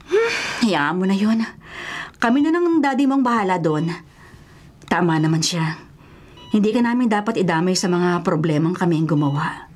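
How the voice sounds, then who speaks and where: A woman with a Filipino accent playing a middle-aged, caring mother in a radio drama, gently emphatic and concerned. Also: lowish voice, normal speed, pauses often, natural voice. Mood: reassuring. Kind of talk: Tagalog radio drama acting. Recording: radio drama with music underneath.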